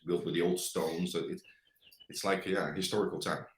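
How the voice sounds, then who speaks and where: A very low-voiced man from the Netherlands with a clear Dutch accent, speaking English slowly and reaching for words. His voice rings a little in an echoey room. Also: steady tone. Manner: hesitant, searching for words, many fillers. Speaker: guest. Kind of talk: conversational interview.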